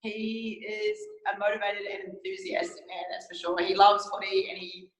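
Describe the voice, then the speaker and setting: A woman with a raspy voice, a footballer, chatting upbeat in a casual group interview. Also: mid-range voice, fairly even intonation, normal speed. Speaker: football player. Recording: echoey room.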